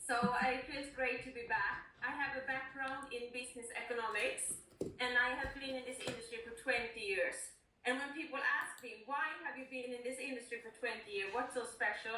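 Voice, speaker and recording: High-voiced Swedish woman, very echoey. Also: normal speed, pushed and bright, slight background noise. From Gothenburg, Sweden. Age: 40s. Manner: enthusiastic, earnest. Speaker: newly appointed sales leader. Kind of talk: self-introduction speech to colleagues. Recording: very echoey room, distant microphone.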